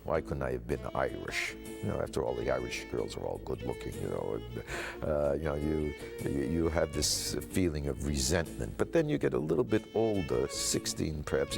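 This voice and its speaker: Male interviewee, American, extremely low creaky voice, emphatic declarative storytelling. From United States. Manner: emphatic, declarative short sentences. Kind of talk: documentary interview, personal storytelling.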